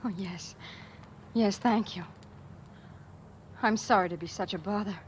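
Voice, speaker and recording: A woman's voice: mid-range voice, a little slow, natural voice. A woman acting a fragile, anxious young working woman in a 1940s radio drama. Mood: subdued, wistful. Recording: muffled, noisy old radio recording.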